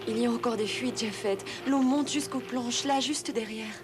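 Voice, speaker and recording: Une comédienne de dessin animé en français qui joue une femme soucieuse et rassurante au sein d'une famille. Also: female voice, a little high, normal speed, natural voice. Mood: worried. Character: concerned, reassuring woman of a cartoon family. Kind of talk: French cartoon voice acting. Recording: music behind the voice.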